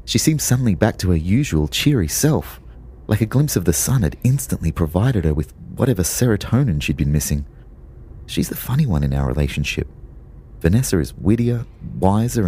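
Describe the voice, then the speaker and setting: An actor playing a wry, lovestruck young personal trainer who narrates an audio drama in a measured, understated way. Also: male voice, low voice, expressive, mellow, a little hiss or hum. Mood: wry, fond.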